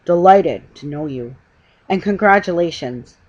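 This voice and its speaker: A woman's voice: lowish voice, expressive, unhurried, a little mellow, muffled recording. A woman voicing a pompous, important male store manager from a storybook, declaiming grandly and emphatically. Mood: hearty, booming.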